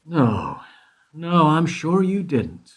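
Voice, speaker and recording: Husky, probing male investigator, played by a man. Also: fairly high pitch, sing-song, drawn-out words, full character voice. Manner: probing, insistent, wry. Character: probing, wry male investigator questioning a witness. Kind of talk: radio play acting.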